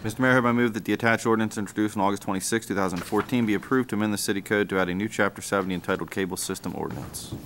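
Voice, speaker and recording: A man's voice: on the low side, fairly even intonation, rapid-fire, few pauses, noisy background. A man on a city council reading out formal motions into a desk microphone. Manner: rattles off formal motion text. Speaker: council member. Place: council chamber.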